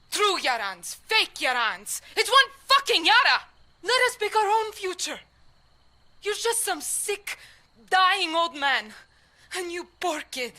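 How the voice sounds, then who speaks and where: A fiery guerrilla fighter in a game cutscene. She is a young woman with a bright, cutting voice. Her delivery is impassioned, blunt and defiant. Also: extremely high pitch, full character voice. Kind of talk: cinematic video game acting.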